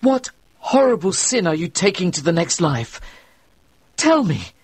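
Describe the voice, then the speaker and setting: Man voicing a young frightened minister, higher voice, very husky, slow pleading delivery, storybook reading. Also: expressive, no room sound, exaggerated voice. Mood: horrified. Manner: pleading, rising to alarmed cries.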